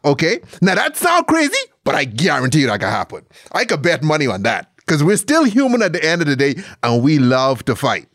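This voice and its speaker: A man's voice: on the high side, huge pitch swings, fairly quick, few pauses, fairly bright tone, dry recording, clean studio sound. A man hosting a casual interview over a video call, talking playfully and laughing often. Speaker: podcast host.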